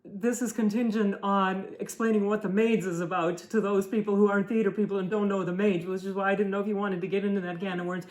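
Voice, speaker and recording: A middle-aged woman whose first language is German, telling stories in a relaxed chat with many fillers and restarts. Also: mid-range voice, fast talker, hardly any pauses, rough recording. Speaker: podcast co-host. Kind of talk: casual conversational storytelling.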